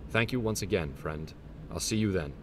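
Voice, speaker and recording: An actor playing a courteous travelling merchant in scripted fantasy game dialogue, with polite phrasing. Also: male voice, sits low, normal speed, noisy background, close to an everyday voice.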